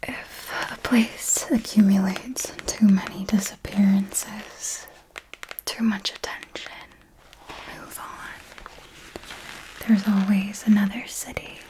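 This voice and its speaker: A woman's voice: mid-range voice, level tone, slow pace, lightly acted, rough recording. A woman voicing an ancient, serenely ruthless and darkly tender female vampire mentor in an ASMR roleplay, speaking formally and coaxingly in a whisper. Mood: patient, instructive.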